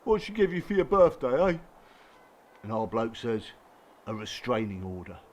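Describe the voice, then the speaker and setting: A man's voice: medium pitch, big pitch swings, normal speed, a little mellow, slight background noise, natural voice. Guy playing a wry blokey support group regular, radio play, slangy, probing, mid-range voice. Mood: joking, bitter. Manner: colloquial, sardonic, probing. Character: blunt, wry, bruised working-class support-group regular, coaxing. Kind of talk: naturalistic radio drama acting.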